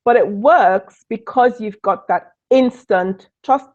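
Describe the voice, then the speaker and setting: A woman from Trinidad with a Trinidadian accent mixed with British tones, giving a lively live online business training and repeating key points for emphasis. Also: medium pitch, takes it slowly, dull audio. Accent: Trinidadian, mixed with British and Northern Irish. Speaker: business coach.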